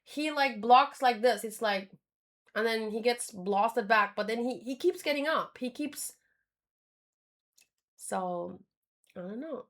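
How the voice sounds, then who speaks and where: A woman with a slightly high voice chats as a streamer sharing her reactions. Her delivery is informal and unscripted, often hesitating and rephrasing mid-thought. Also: takes it slowly, pauses often, fairly bright tone. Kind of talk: casual livestream commentary.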